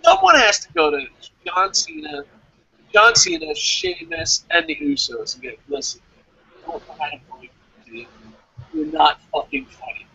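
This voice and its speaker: A man's voice: high-pitched, huge pitch swings, unhurried, creaky, bright, forceful tone, breathy edge, no room sound, highs cut off. A man co-hosting a wrestling review show in casual, opinionated chat, speaking bluntly and with plenty of swearing. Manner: profane, blunt.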